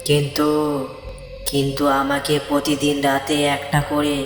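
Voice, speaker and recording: A woman's voice: deep voice, completely flat delivery, unhurried. A woman voicing a stern, imperious demon goddess in a cartoon, drenched in reverb. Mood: bargaining. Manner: imperious, commanding, repeats orders. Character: stern, commanding demon goddess granting bargains. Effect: long reverb. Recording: echoing reverb with music behind.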